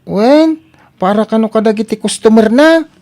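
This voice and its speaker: Meek, soft-toned young Filipino helper played by a woman. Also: fairly high pitch, lively intonation, moderate pace. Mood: agreeable. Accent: Filipino (Ilocano). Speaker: radio drama actor. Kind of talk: Ilocano comedy radio drama acting.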